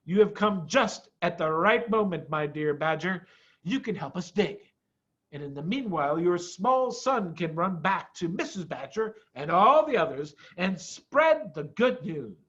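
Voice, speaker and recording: Storybook fox dad played by a man, assured and sly, grand delivery, very animated, lo-fi recording. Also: high-pitched, unhurried, heavily acted. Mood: jubilant, commanding. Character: confident, reassuring father fox, generous and sly. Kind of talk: storybook character voice.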